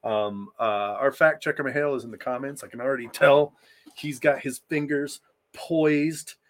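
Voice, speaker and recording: A man's voice: medium pitch, fairly even intonation, moderate pace. A man co-hosting a casual fan discussion podcast, speaking informally with emphatic repetition and the odd swear word. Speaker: podcast co-host.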